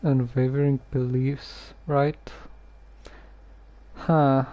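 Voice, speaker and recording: A man streaming a game casually, hesitant and halting as he talks and reads text aloud. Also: mid-range voice, flat delivery, dark tone, slight background noise. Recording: muffled lo-fi microphone.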